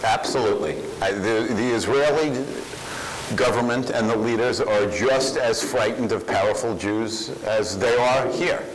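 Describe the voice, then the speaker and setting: A man's voice: on the low side, unhurried, slight background noise, poor audio. Emphatic man answering questions. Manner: emphatic, repeats phrases for force. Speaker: panel speaker answering audience questions. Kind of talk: Q&A talk at a public event.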